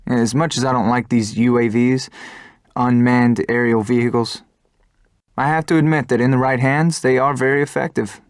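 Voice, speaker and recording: An actor playing an earnest, brooding young fighter pilot in a video game, with reflective, measured delivery. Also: male voice, medium pitch, steady tone, no echo, clean studio sound, natural voice. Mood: pensive. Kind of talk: scripted cutscene narration and dialogue.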